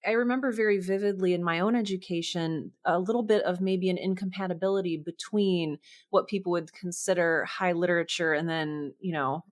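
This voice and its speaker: A woman's voice: lowish voice, steady tone, brisk. A woman hosting an interview podcast in an enthusiastic, chatty, conversational way. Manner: effusive, chatty. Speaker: podcast host.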